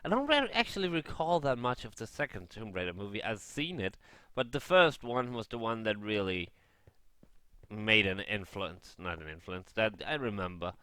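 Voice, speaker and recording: A man giving casual, unscripted gaming commentary in a halting way, often rephrasing and correcting himself. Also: on the low side, very animated, pushed and bright, clear, resonant tone, dry recording. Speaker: gaming vlogger.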